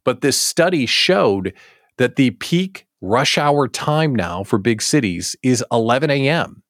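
A man hosting a live show in casual chat, jokey and animated, often breaking off his own sentences and throwing out rhetorical questions. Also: medium pitch, unhurried, no room sound, studio quality. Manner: animated, jokey, self-interrupting, exclaims and asks rhetorical questions. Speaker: host of a daily live show.

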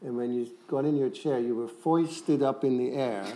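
A man's voice: medium pitch, moderate pace, warm tone, a little hiss or hum, low-quality recording. A man on a discussion panel, recounting an anecdote in a rambling, storytelling way.

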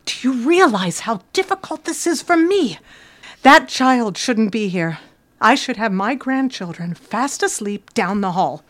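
A prim middle-aged mother in an audio drama, high-voiced and very animated. She is fretful, scolding and martyred, easily scandalized. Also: a little slow. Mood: indignant, martyred.

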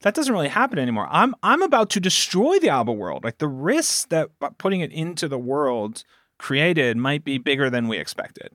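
A man's voice: fairly high pitch, big pitch swings, normal speed, a bit bright, no echo, clean studio sound. A man speaking casually as a producer being interviewed, talkative and emphatic, often repeating a phrase to press a point. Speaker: podcast producer and guest.

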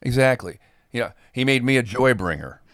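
A man chats casually as a podcast co-host. His voice has medium pitch and is recorded close and dry, with no room sound. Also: lively intonation, moderate pace, fairly bright tone, no echo.

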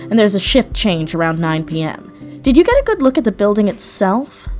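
A woman's voice: mid-range voice, sing-song, normal speed, a little mellow, soft background music, close to an everyday voice. A woman playing a confident hacker in an audio drama, speaking casually with assured, wry delivery. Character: confident, wry female hacker on a heist crew.

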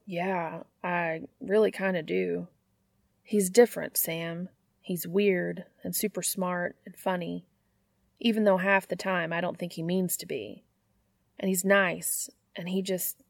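Woman voicing a blunt, exasperated older brother, creaky voice. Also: high-pitched, fairly even intonation, a little slow, dry recording. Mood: sheepish, earnest. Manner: sweary, blunt, sardonic. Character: gruff, exasperated older brother, sarcastic but soft-hearted.

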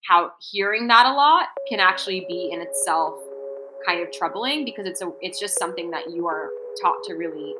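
A woman's voice: on the low side, expressive, fairly quick, background noise. A woman from Singapore with an American accent, sharing personal stories in an interview in an earnest, articulate way. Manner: articulate, earnest, lots of "right?" and "um". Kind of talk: reflective personal storytelling.

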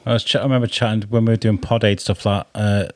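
A man's voice: fairly low pitch, little pitch movement, quick pace, no room sound, clean studio sound. A man hosting a podcast, chatting casually and asking his guests questions. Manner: conversational, asks questions. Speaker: podcast host. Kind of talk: casual chat.

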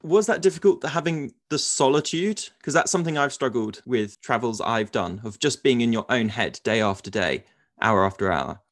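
A man's voice: mid-range voice, animated, normal speed, a bit bright, no room sound, clean studio sound. A man hosting a podcast, reading his introduction and then asking a guest questions in an earnest, enthusiastic way. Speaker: podcast host.

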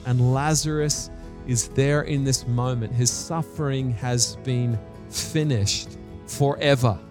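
A middle-aged man with an Australian accent preaches in an animated, conversational way, telling personal stories in colloquial language. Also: mid-range voice, slow pace, faint music behind. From New Zealand and Australia.

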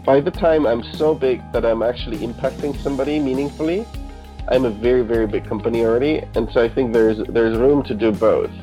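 A man's voice: medium pitch, brisk, mellow, soft background music, rough recording. A man from Germany, a guest entrepreneur, giving organized, articulate interview answers. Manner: articulate, organized, explaining points in order.

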